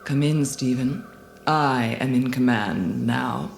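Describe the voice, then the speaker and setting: A woman's voice: extremely low pitch, creaky, a little hiss or hum, exaggerated voice. A woman playing a cruel, arrogant alien commander in a dramatised storybook, imperious and scornful. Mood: gloating. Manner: imperious, gloating, deliberate. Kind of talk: dramatised storybook audio acting. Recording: music behind the voice.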